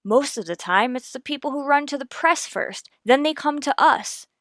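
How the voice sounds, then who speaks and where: A woman's voice: high-pitched, animated, normal speed, fairly bright tone, no room sound, studio quality. A woman playing a tough, firm police detective, terse and pressing, in a radio detective drama. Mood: stern. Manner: terse, hard-boiled, pressing. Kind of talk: old-time radio detective drama acting.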